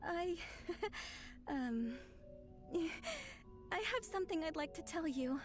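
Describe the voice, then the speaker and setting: A woman playing a fickle young woman in a video game with animated acting. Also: very high-pitched, drawn-out words, over music, full character voice. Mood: bashful.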